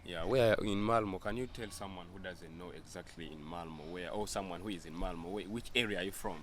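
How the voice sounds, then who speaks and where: A man's voice: deep voice, fairly quick, fairly bright tone, a little hiss or hum. A man hosting a casual interview for his YouTube channel, who eagerly repeats his guest's answers back to her.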